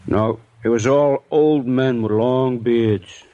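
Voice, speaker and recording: An American actor playing a guarded, curt lunch counter man with rough working-class grammar in a 1950s radio drama. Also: medium pitch, takes it slowly, mellow, highs cut off, lightly acted. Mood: guarded, surly. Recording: noisy 1950s radio recording with clicks.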